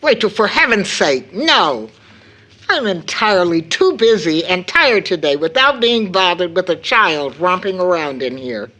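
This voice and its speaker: A woman's voice: on the low side, big pitch swings, measured pace, bright, forceful tone, exaggerated voice. Proper, scolding mother, swooping intonation. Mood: weary, businesslike. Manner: scolding, proper, precise phrasing. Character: tired, stern but fond middle-aged mother, a seamstress. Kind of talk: stage-style period audio drama acting.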